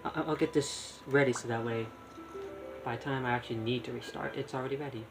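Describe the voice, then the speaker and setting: Man streaming games over loud music. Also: medium pitch, steady tone, quick pace. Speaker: game streamer. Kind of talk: casual live gameplay commentary. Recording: loud game music behind voice. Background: loud video game music.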